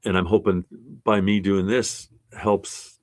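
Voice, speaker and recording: A man's voice: low-pitched, flat delivery, slow, a little mellow. A man in his sixties speaking as an art resilience instructor, giving a casual, earnest and encouraging talk to his class in a plainspoken way.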